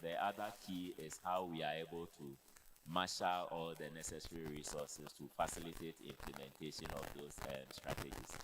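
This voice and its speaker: A man with a Ghanaian accent giving measured, formal remarks as a panelist, pausing often as he speaks. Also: very deep voice, steady tone, noisy background. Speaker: conference panelist, health quality expert.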